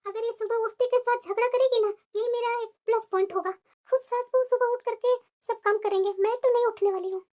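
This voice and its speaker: Scheming new bride, high bright voice, sly mutters and petulant replies, woman acting. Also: fairly even intonation, fast talker. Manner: muttered asides, petulant when speaking aloud. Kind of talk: homemade family skit acting.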